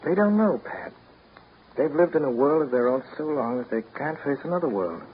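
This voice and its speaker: An actor in a 1930s radio drama playing a weary, tender but blunt man, measured and wry. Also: a little high, expressive, husky. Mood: brooding. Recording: dull, noisy 1930s radio broadcast recording.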